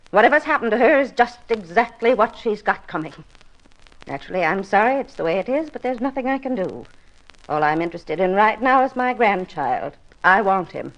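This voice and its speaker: An actress playing a bitter, controlling older widow in a 1950s radio drama, haughty and blunt. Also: female voice, medium pitch, lo-fi sound. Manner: haughty, blunt, self-pitying.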